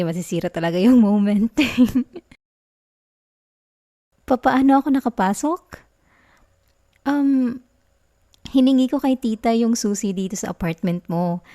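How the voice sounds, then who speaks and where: A woman with a Filipino accent playing a caring, playful girlfriend in a soft, intimate ASMR roleplay. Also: medium pitch. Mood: playful, giggly. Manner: soft, intimate, giggly, teasing. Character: affectionate, caring young girlfriend, playful and sweet.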